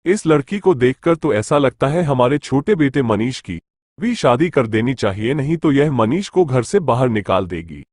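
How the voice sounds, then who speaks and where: A man's voice: medium pitch, big pitch swings, normal speed, darkish tone, no room sound, studio quality. A man voicing a mild, caring father in a Hindi moral story cartoon. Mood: gently chiding.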